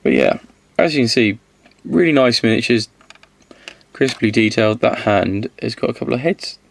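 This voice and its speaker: A man with a slight cold-hoarse voice, casually reviewing miniatures, rambling and correcting himself as he goes. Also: medium pitch, lively intonation, moderate pace. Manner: rambling, self-correcting, counts aloud.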